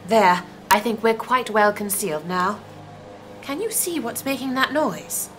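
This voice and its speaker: A woman's voice: a little high, lively intonation, measured pace, fairly bright tone, soft background music. A woman playing a time traveller's dry-witted, cautious companion in an audio drama, speaking formally and precisely. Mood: wary, dry.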